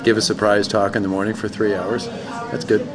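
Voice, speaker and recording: A man hosting casual interviews in a hotel bar, joking in a deadpan way. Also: on the low side, fairly quick. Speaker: host. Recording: crowd chatter. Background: bar crowd.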